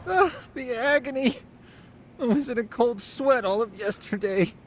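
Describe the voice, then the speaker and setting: A man's voice: extremely high pitch, a little slow, slight background noise. An actor playing a fussy, analytical male computer whiz in a video game, precise and wordy. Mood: whiny, smug. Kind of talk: English video game voice acting.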